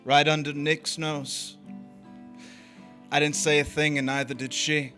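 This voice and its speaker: A man's voice: fairly high pitch, little pitch movement, a little slow, sharp tone, soft background music. A man playing a cynical young drifter who narrates in terse, wry, hardboiled 1940s radio noir style. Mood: brooding.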